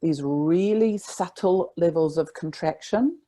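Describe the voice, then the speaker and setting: A woman voice teacher talking in a reflective, spiritual way in a relaxed conversation, sometimes showing high and loud notes as she talks. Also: slow. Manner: reflective, spiritual, demonstrates pitch while talking.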